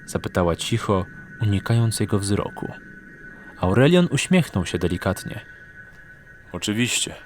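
A man's voice: on the low side, sing-song, moderate pace, a little hiss or hum. A man reading scripted narration for a fantasy audiobook.

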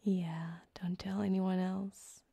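Woman playing a flirty sadistic surgeon, slow and breathy. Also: fairly low pitch, level tone, dark tone, heavily acted. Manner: coaxing, teasing, breathy, drifting into whispers. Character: flirty, sadistic yandere cyberpunk back-alley surgeon woman. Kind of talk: ASMR roleplay, intimate one-sided scene. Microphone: close binaural microphone.

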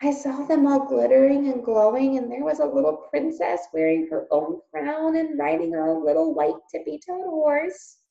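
A shy, yearning little peasant girl, as a woman reading aloud puts her on, her voice lifted high and childlike. She speaks eagerly yet timidly in a storybook tale. The sound is dull and roomy. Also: expressive, unhurried, creaky, hardly any pauses, dark tone. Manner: high, pleading. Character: shy, wistful seven-year-old peasant girl.

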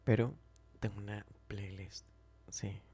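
A man playing a smitten, tender and teasing best friend in an ASMR roleplay, speaking softly and hesitantly, often whispering and repeating himself. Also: lowish voice, fairly even intonation, slow pace, exaggerated voice. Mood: shy, intimate. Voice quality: soft, breathy, often whispering. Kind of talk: ASMR roleplay, intimate chat.

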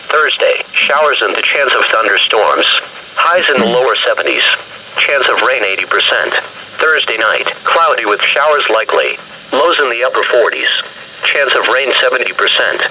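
A synthetic man's voice reading a scripted weather bulletin in formal, measured, clipped phrases through a handheld radio speaker. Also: medium pitch, heavy background noise. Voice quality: synthetic text-to-speech voice. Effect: played through a handheld radio speaker. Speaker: automated weather radio announcer.

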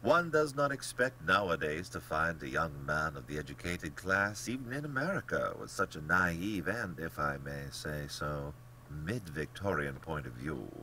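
A man's voice: extremely low pitch, sing-song, measured pace, pushed and bright, a little hiss or hum, full character voice, poor audio. A man voicing a genteel, smug, cold-blooded general who hunts men, speaking with pompous, blandly polite theatrical emphasis in an audiobook. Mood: amused, condescending. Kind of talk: dramatic audiobook character acting.

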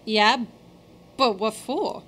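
A woman's voice: a little high, very slow, fairly bright tone, heavily acted. A woman playing a sarcastic, skeptical young runaway in a radio drama. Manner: sarcastic, teasing.

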